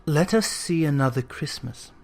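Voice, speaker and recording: A narrator voicing a calm, thoughtful spirit guide in a classic storybook, quiet and measured. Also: medium pitch.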